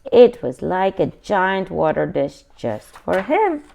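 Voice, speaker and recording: Slow, expressive woman reading a picture book. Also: lowish voice, creaky. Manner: expressive, simple explanatory asides to young listeners. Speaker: children's book reader. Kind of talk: read-aloud picture book narration with asides to children.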